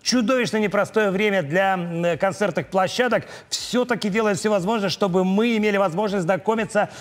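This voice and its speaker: Brief: middle-aged man, high voice, formal breakfast-TV host, hesitant fillers, studio lapel sound. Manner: measured, frequent filler sounds while reading. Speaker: morning show host. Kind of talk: formal television presenting. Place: bright television studio, indoors.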